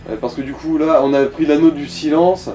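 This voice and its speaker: A man with a fairly high, soft-edged voice coaches a gamer in casual, slangy, crude terms. He sounds distant in an echoey room, with music playing behind him. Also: steady tone, a little fast. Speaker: gaming coach and co-commentator. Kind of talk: casual Let's Play commentary. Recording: roomy echo, distant mic, music. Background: game music.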